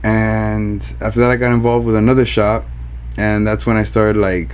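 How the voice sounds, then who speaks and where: A young man appearing as a guest in a podcast interview, speaking hesitantly with many fillers into a studio boom mic. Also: little pitch movement, normal speed, a little mellow, slight background noise. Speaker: guest tattoo artist. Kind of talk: casual interview chat. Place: indoor studio with leather couch. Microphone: studio mic on boom arm.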